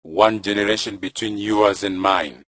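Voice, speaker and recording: A man's voice: lowish voice, fairly even intonation, normal speed, fairly bright tone, no room sound. A young man acting an aging, proud and sly village chief in a stage play, speaking in stately, poetic phrases. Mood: persuasive. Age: young adult. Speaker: student actor. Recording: music playing behind the voice.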